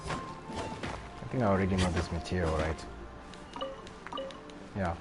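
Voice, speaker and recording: A man's voice: deep voice, steady tone, takes it slowly. A man livestreaming a video game, chatting casually and reacting to the story as he plays. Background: loud game music.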